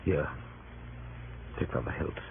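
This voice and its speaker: An actor playing a proud, despairing Roman commander, weighty and theatrical in 1930s radio Shakespeare style. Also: male voice, natural voice. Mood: commanding, resolved. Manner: slow, weighty, theatrical delivery. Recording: noisy, tinny 1938 radio broadcast.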